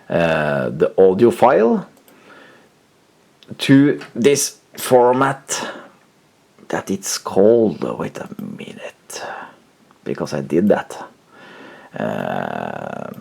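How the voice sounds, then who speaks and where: A man's voice: fairly low pitch, vocal fry, darkish tone. A man giving a casual, unscripted computer demonstration, hesitant and often searching for words, as the host of a retro tech video. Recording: music playing behind the voice. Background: music from computer audio player.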